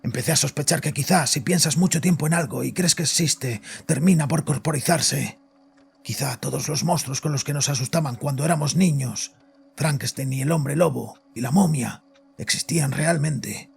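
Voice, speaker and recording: Casting: haunted, bitter father on the psychiatrist's couch, heavy fry and husky rasp, agitated horror-fiction delivery. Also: a little high, exaggerated voice. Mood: brooding dread. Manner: agitated, halting, haunted confession. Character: guilt-ridden, frightened, bitter father confessing to his psychiatrist. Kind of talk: dramatized horror audio fiction. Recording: voice over background music.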